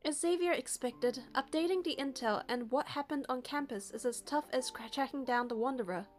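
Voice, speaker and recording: A woman reading a game's story aloud as narration in an expressive way. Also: sits high, a little fast. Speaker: player narrating a game playthrough. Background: music.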